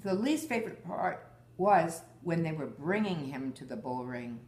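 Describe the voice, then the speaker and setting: An older woman, a mother reading a children's story aloud in a measured, deliberate way. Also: sits low, animated, a little mellow.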